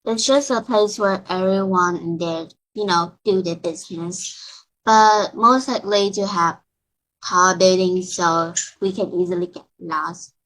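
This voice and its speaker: Young girl from Vietnam, native Vietnamese speaker, slow hesitant answers, video call audio. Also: lowish voice, steady tone, a bit bright, poor audio. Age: child of eleven. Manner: hesitant, searching for words. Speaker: student.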